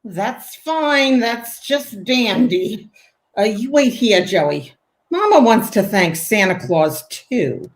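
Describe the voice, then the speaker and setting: A woman's voice: a little high, big pitch swings, takes it slowly. A woman playing a brash, plain-spoken mother with blunt, slangy talk in a 1940s-style radio play. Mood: exasperated. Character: brash, plain-spoken, exasperated working-class city mother.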